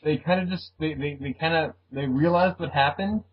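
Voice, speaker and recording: A man in a casual group voice call, bringing up a topic in a halting way with repeated words. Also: a little high, a little hiss or hum. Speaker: podcast panelist raising topics. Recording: dull lo-fi call audio.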